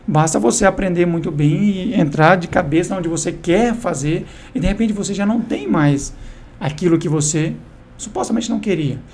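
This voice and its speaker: A man's voice: high-pitched, moderate pace, darkish tone, slight background noise. A man, a guest on a podcast, giving advice in an emphatic, direct way. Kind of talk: casual interview chat.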